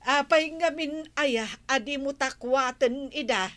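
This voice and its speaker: A woman's voice: high voice, measured pace, bright, forceful tone. A woman playing a cautious village housewife with an Ilocano accent, hesitant and conversational, in an audio drama. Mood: questioning. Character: village housewife, cautious, concerned about money.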